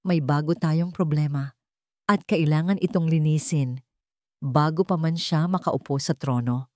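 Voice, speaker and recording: A woman's voice: low-pitched, measured pace, mellow, dry recording, studio quality. Woman voicing a cold, scheming male henchman. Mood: cold menace.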